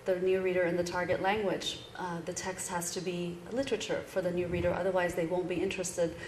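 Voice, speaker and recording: A woman's voice: fairly low pitch, little pitch movement, fairly quick, a little mellow. Middle-aged woman translator talking to a room, thoughtful, lots of ums, persian her first language, bit of faint hiss. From born in London, grew up partly in Iran. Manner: thoughtful, explanatory, frequent uh and um fillers. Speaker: literary translator giving a talk. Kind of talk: live talk to an audience at a reading series. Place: reading event venue, in front of an audience.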